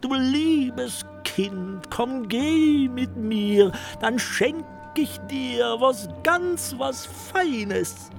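An actor voicing a sly old man who lures a small child in a slow, crooning, wheedling caricature. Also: very high voice, animated, gravelly, faint music behind, voice pushed up, exaggerated voice.

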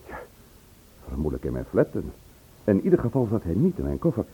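A man's voice: sits low, huge pitch swings, a little fast, dark tone, breathy edge, full character voice, poor audio. An actor playing a troubled, insistent man in a radio play, questioning with emphasis. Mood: agitated, puzzled. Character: troubled, insistent man questioned about his murdered brother.

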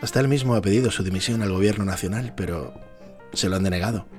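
A man's voice: on the low side, quick pace, a little mellow, faint music behind, natural voice. A man playing a grave, resigned senator and brother in a radio drama.

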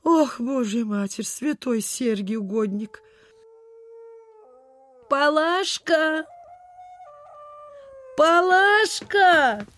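A woman's voice: sits high, extremely slow, pauses often, a bit bright, slight background noise, exaggerated voice. An actress playing a superstitious, chatty provincial landlady in a radio play, with sighing, gossipy, old-fashioned folksy speech. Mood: anxious, fretful. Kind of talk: Soviet radio theatre acting.

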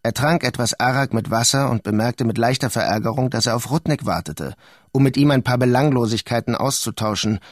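An actor playing a brooding, detached narrator in a literary radio drama, speaking in a measured, sombre way. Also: male voice, mid-range voice, dry recording, studio quality, natural voice. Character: brooding, detached man narrating a war-torn city. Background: music behind the voice.

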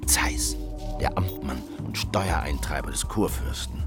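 An actor playing a brooding revolutionary who narrates his memories in a radio drama, hushed, vivid and scornful. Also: a bass voice, measured pace. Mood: grim, scornful. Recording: loud music under the voice.

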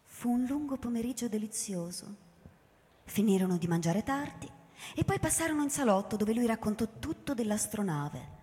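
A woman's voice: a little high, animated, normal speed, mellow. A woman narrating a radio drama as dramatic scripted storytelling. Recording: reverberant, music underneath.

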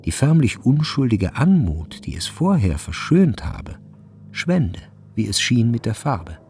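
An actor playing a sober narrator, delivering measured, grave literary narration for a radio play. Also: male voice, medium pitch, sing-song, darkish tone, slight background noise. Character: sober male literary narrator of a grim story.

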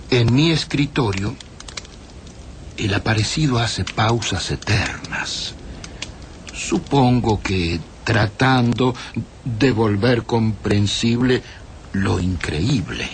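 An actor playing a solemn writer who narrates in the first person, measured and pausing, in a radio drama. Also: male voice, fairly low pitch. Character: solemn male writer recording a time traveler's account. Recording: faint background noise.

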